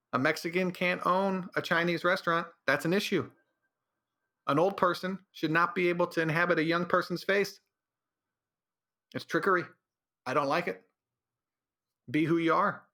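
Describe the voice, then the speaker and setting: A man's voice: fairly high pitch, pushed and bright. A man hosting a solo podcast, delivering a sarcastic, rambling comedic rant. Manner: sarcastic, rambling, drops into mocking voices. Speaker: podcast host.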